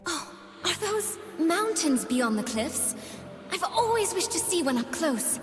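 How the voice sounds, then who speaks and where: A woman voicing a sweet, hopeful young doll brought to life in a video game, earnest, wistful and softly theatrical. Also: very high-pitched, very animated, a little slow, heavily acted. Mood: curious wonder. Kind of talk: video game character voice lines. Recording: long reverb, music behind.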